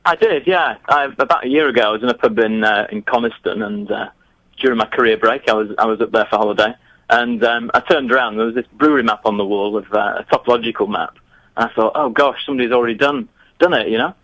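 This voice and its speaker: A man's voice: medium pitch, fast, fairly bright tone, dry recording, lo-fi sound. A man, answering interview questions in a chatty, hesitant way with many restarts. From northern England. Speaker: guest, geography teacher. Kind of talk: remote interview.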